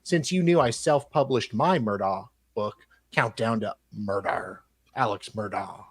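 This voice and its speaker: A man's voice: on the low side, a little slow, slightly rough. A man hosting a live show, reading emails aloud in a dramatic style and breaking off for casual, mocking asides.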